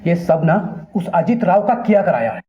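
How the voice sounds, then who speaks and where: An aggrieved father pleading to police in a crime serial. His high, animated voice comes through echoey, muffled audio. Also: moderate pace, a little hiss or hum. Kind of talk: Hindi TV crime serial acting. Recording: echoey, dull sound with dramatic music.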